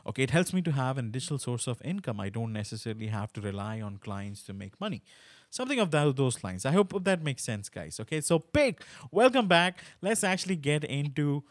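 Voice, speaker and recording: A man speaks as a podcast host in a conversational, emphatic style. His voice sits at a medium pitch, and the sound is dry, with very little room in it. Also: big pitch swings, fairly quick, no echo. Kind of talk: conversational podcast interview, addressing listeners.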